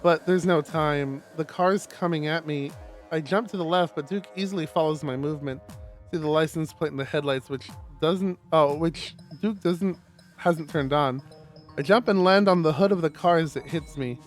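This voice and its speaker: A man streaming a live read-aloud of a visual novel, expressive, with stumbles and casual asides. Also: on the high side, moderate pace, faint music behind. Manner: expressive, stumbles over words while reading.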